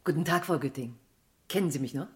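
A woman's voice: low-pitched, fast talker, very noisy, lightly acted. An actress playing a determined, suspicious woman in a radio crime drama, urgent and persistent in her manner. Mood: pressing.

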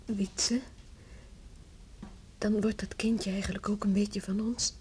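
A woman's voice: medium pitch, level tone, slow pace, dark tone, lightly acted. An actress playing an anxious, tender-hearted young village woman in a radio play, with an emotional, pleading manner. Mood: worried, hushed. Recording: faint noise and clicks, old radio recording.

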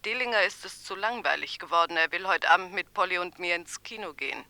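A woman's voice: lowish voice, pushed and bright. Defiant, guarded boarding house landlady. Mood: conspiratorial. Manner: indignant, guarded, deliberate. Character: defiant, wary, money-minded female boarding house landlady. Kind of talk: German radio crime drama acting.

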